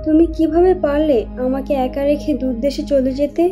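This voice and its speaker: Casting: actress as sorrowful dead young woman's spirit, high-pitched, reproachful, animated horror tale over prominent music. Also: fairly quick, close to an everyday voice. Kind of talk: Bengali animated horror story acting.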